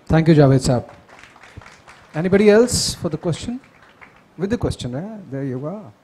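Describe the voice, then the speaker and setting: Male emcee, upper-mid pitch, brisk and upbeat, light background noise. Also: animated, warm tone. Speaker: event host. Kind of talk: emceeing a launch event.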